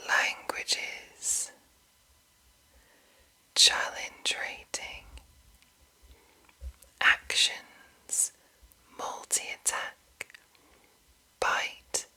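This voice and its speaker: A woman ASMR host speaking entirely in a soft, breathy, unvoiced whisper to her viewers. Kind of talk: whispered ASMR reading of game rulebook and chat to viewers.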